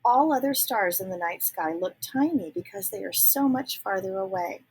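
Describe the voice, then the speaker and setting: A woman reading a children's picture book aloud, pausing to ask young listeners gentle teacherly questions and dropping into soft whispers. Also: medium pitch, expressive, husky, low-quality recording. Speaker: read-aloud storyteller.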